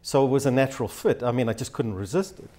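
A man, a guest economist speaking in a measured, thoughtful way in a studio interview. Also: mid-range voice, expressive, darkish tone. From South Africa. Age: 60s. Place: TV studio set, seated in armchair.

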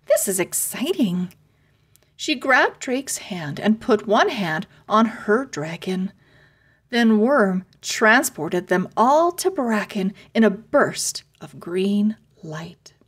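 A woman narrating a children's chapter book aloud, with careful, expressive storybook phrasing. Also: mid-range voice, takes it slowly, slightly rough. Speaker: read-aloud host and narrator.

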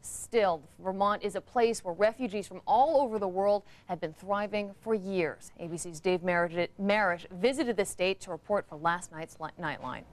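A woman anchor introducing a report in a TV news studio, composed and clear. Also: mid-range voice, moderate pace, hardly any pauses.

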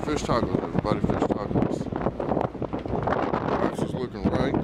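A man's voice: fairly low pitch, normal speed. A man hosting a casual fishing vlog outdoors, talking in hyped slang with frequent swearing. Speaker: host of a fishing show. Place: outdoors on a fishing boat and dock. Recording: windy outdoor recording, loud boat engine noise. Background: wind, water, boat engine.

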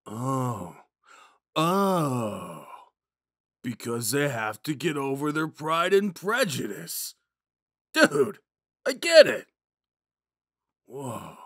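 Man acting a slow-talking drunk himbo jock. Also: mid-range voice, very animated, fairly bright tone, husky, no echo, clean studio sound, heavily acted. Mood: tipsy indignation. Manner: drunken, halting, goofy, rambling. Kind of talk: ASMR roleplay acting, speaking to the listener.

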